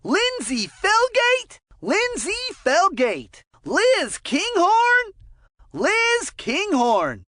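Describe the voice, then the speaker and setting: An excitable little boy calling a cartoon hockey game. The voice is extremely high and bright, and very slow, stretching out each exclaimed call like a proud young announcer. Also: dry recording, clean studio sound, heavily acted. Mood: excited announcing. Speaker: sports game commentator. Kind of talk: video game announcer calling out player names.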